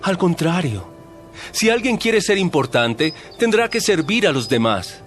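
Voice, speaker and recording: A man playing a calm, authoritative male teacher, speaking in a measured, solemn way in a dramatized audio Bible. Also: high-pitched. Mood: earnest teaching. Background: soft music.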